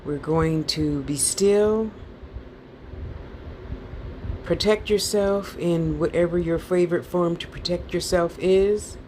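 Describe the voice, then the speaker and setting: Slow, mellow woman guiding meditation. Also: fairly low pitch, fairly even intonation, slight background noise. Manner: soothing, informal, playful asides; repeats short phrases in a lulling way. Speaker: guided meditation class teacher. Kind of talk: guided meditation, relaxation instructions.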